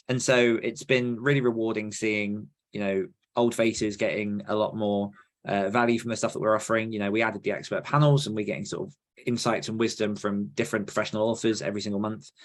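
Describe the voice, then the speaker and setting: A man with a fairly low voice talks casually as a podcast host. He is earnest and reflective, often qualifying what he says as he works through his thoughts. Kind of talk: casual conversational podcast chat.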